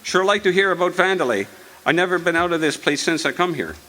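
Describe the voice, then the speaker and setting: A man's voice: high-pitched, expressive, fast talker, bright, forceful tone. A man acting in a radio drama as a friendly, nosy small-town storekeeper with a homespun, rustic way of talking. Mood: folksy, curious.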